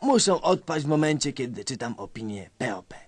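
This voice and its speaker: A man's voice: mid-range voice, very animated, brisk, no echo. A man acting a nervous, self-important army colonel who barks at subordinates in a satirical radio play. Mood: nervous, confiding. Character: jittery, pompous army colonel, male, satirical.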